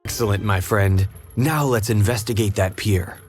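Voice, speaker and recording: Man playing a low-voiced, composed detective. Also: over music, close to an everyday voice. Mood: composed, resolute. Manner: deliberate, courteous, instructive. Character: composed, earnest male detective guiding his partner. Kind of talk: scripted adventure game dialogue.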